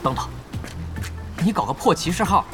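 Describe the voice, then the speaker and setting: Proud young captain, animated and highish. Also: male voice, moderate pace. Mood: incredulous, sardonic. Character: proud, driven young male esports player and team captain. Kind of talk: Mandarin audio drama acting. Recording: dramatic music bed behind the voice. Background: prominent background music.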